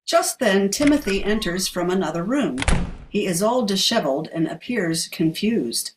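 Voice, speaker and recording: A woman's voice: mid-range voice, animated, moderate pace, far from the mic, noisy background, lightly acted. A woman reading scripted stage directions as the narrator of an audio play.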